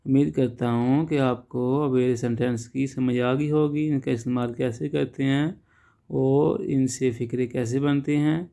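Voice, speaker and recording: A man's voice: medium pitch, fairly even intonation, unhurried, vocal fry, warm tone. A man teaching a language lesson, explaining patiently and checking in often with his listeners.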